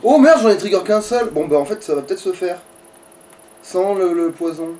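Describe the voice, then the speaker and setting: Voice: medium pitch, animated, moderate pace, mellow, far from the mic. A gamer giving casual, grumbling, sweary commentary while playing a video game.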